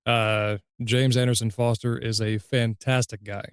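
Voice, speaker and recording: A man hosting a casual podcast interview in an affirming, measured manner. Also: mid-range voice, level tone, clear, resonant tone, dry recording, clean studio sound. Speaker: podcast host, audiobook narrator.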